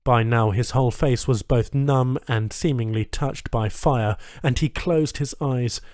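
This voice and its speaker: Dry, mid-pitched male audiobook narrator. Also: a little slow, darkish tone, dry recording. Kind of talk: scripted story narration.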